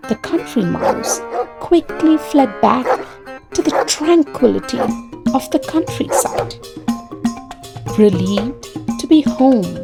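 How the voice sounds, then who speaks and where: A woman's voice: on the high side, creaky. A woman narrating scripted bedtime stories for young children. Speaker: storyteller. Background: music.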